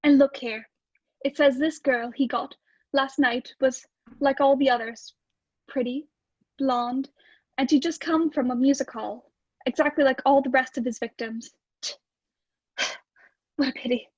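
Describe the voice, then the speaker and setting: Woman acting a high-voiced, fretful London landlady. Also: steady tone, measured pace, long pauses, darkish tone, no echo, highs cut off. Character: anxious, fretful London landlady and wife, old radio mystery. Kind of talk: radio play acting.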